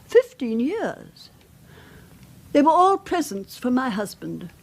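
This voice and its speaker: A frail, genteel old British widow in a radio drama. Her high voice moves expressively up and down, polite but hesitant and anxious. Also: sing-song, slow pace, heavily acted. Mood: wistful, polite.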